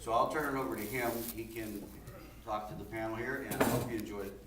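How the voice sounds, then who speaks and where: A man with a fairly low voice introduces a panel in an informal, anecdotal way. He speaks haltingly and doubles back on words. He sounds distant from the microphone, with clear noise around him. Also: fairly even intonation. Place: large echoing indoor venue, public forum. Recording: echoey, noisy hall, distant microphone.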